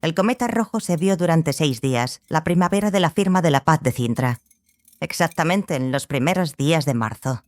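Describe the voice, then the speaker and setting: A composed, low-key scholarly sorceress mentor. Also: female voice, expressive, moderate pace. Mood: composed, probing. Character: small sorceress, learned lady mentor, composed and exacting. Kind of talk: audiobook drama acting.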